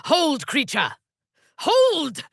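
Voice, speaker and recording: A woman's voice: high-pitched, huge pitch swings, very slow, gravelly, no room sound, clean studio sound, exaggerated voice. A woman playing a fierce spellcaster in a video game, declaiming incantations and battle commands with force. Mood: fierce, commanding. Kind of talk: video game combat barks.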